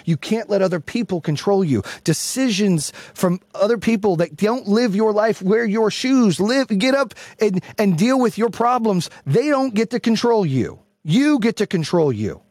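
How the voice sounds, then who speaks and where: A man giving a brash, profane, emphatic motivational monologue in a husky voice, repeating phrases for punch. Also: high-pitched, darkish tone, no echo. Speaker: motivational speaker and host.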